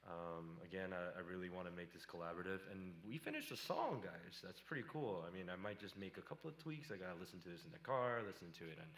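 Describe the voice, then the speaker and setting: Low-voiced man in a roomy studio. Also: big pitch swings, quick pace, hardly any pauses. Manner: loose and chatty, many fillers, warmly thanking viewers. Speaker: electronic music producer. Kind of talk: casual livestream tutorial. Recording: roomy echoey sound.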